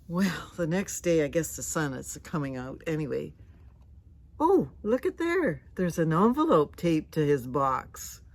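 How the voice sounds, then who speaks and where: A woman reads a picture book aloud for children in a slightly low voice. She is unhurried and gentle, adding conversational comments and questions as she goes. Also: very animated. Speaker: storybook reader. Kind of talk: children's read-aloud with chatty asides.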